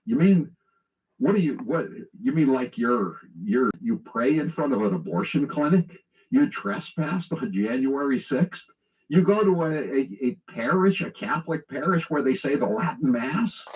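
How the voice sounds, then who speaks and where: Sarcastic, animated American man, lo-fi radio sound. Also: medium pitch, unhurried, distant mic. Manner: sarcastic, mocking. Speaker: political talk radio host. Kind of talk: opinionated conversational radio monologue. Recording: dull lo-fi radio relay.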